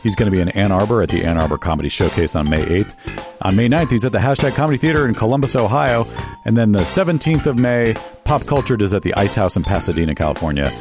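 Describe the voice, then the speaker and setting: A man's voice: on the low side, animated, quick pace, over music. A man co-hosting a comedy podcast, reading tour dates and making dry wisecracks. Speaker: podcast co-host. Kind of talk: reading show announcements, casual chat.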